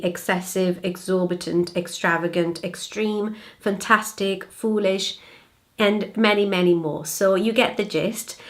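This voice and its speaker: A young woman from Brazil whose first language is Portuguese, speaking English with a British-leaning accent, giving clear, upbeat vocabulary tips in a home office. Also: medium pitch, a bit bright. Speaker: language-learning vlogger. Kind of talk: friendly tutorial vlog.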